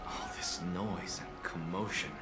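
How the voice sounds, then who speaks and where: An actor playing a proud, coolly theatrical scholar in a video game, haughty and measured. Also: male voice, deep voice, loud music behind the voice. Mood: composed, haughty.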